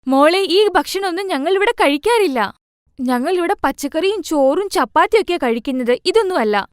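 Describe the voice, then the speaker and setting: A woman's voice: very high-pitched, expressive, moderate pace, no room sound, clean studio sound, voice pushed up. A woman voicing a stern, traditional mother-in-law in a children's story, scolding and bossy, with emphatic delivery. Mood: angry, scolding.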